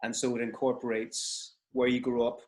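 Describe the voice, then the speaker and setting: A man from Waterford with a softened Irish accent, hosting an interview in a chatty, enthusiastic way. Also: mid-range voice, completely flat delivery, moderate pace. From Ireland, Waterford. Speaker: host, interviewer. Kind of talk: casual interview over video call.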